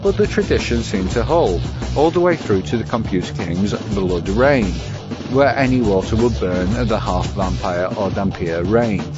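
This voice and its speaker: A man with a low voice reads scripted commentary in a wry, bookish way. Music plays clearly behind him, and the sound is slightly dull. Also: expressive, moderate pace. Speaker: guest segment presenter. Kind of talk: scripted commentary on vampire lore.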